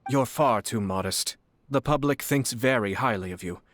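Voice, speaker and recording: A man's voice: medium pitch, a bit bright, no room sound, natural voice. A man playing a shrewd, courteous noble. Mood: gracious. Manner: courteous, measured, politely probing. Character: smooth, shrewd young male noble commissioner.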